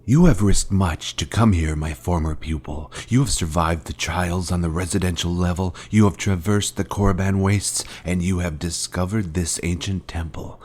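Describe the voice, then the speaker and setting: An actor playing a menacing fallen Jedi master in a video game, speaking in a solemn, pompous, formal way as a cold former mentor. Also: male voice, sits low, moderate pace, hardly any pauses, darkish tone. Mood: solemn, reproachful. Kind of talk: scripted video game villain dialogue.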